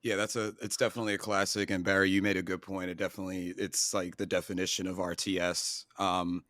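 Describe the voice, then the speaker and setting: A man co-hosts a casual gaming podcast in a very low voice. He is easygoing and laughs often as he talks loosely. The recording is dry. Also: flat delivery, fast, fairly bright tone, no echo. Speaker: podcast co-host.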